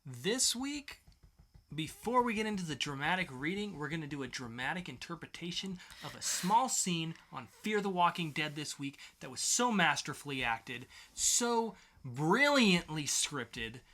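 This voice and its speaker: A man's voice: a little high, big pitch swings, unhurried, sharp tone. A man co-hosting a casual comics podcast with a theatrically sarcastic, mock-grandiose delivery. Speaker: podcast co-host. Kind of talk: casual comic review chat.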